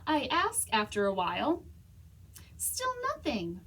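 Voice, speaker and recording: A woman reading a children's story aloud as a storytime reader, expressively, with dramatic pauses and whispers. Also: on the high side, huge pitch swings, fairly bright tone, slightly rough. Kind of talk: animated children's read-aloud.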